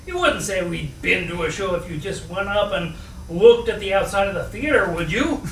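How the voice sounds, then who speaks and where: A man's voice: high-pitched, animated, moderate pace, a bit bright. A man voicing a goading, argumentative male frog in a storybook, reasoning in an emphatic, insistent way. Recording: roomy, distant mic, faint noise.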